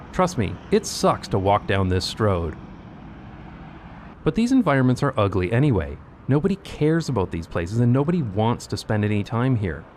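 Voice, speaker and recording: A man's voice: lowish voice, a little hiss or hum. A man narrating a video from a script, with a wry and pointed delivery.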